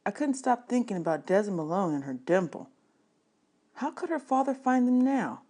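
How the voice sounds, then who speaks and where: A woman's voice: mid-range voice, big pitch swings, moderate pace, mellow. A woman reading a children's novel aloud as audiobook narration, in the manner of a teacher.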